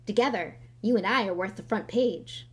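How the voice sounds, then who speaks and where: A woman voicing a vain, showy grown male wizard celebrity in an audiobook, speaking loudly and theatrically. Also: mid-range voice, moderate pace, breathy edge, lightly acted. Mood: beaming. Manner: loud, grand, theatrical announcing. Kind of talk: audiobook character voice.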